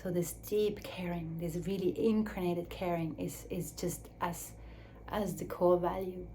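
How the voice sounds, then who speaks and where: Woman, non-native English speaker, low even voice, reflective, searching phrasing, faint background hiss. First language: Swiss German or Dutch. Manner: slow, searching, with restarts and soft drops to near whisper. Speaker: speaker on her own channel. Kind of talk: reflective solo talk.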